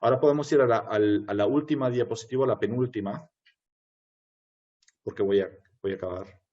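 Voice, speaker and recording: A man wrapping up a webinar talk, hesitant, with many pauses and restarts. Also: lowish voice. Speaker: webinar speaker. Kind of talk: closing a presentation. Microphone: video call. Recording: dull video-call audio.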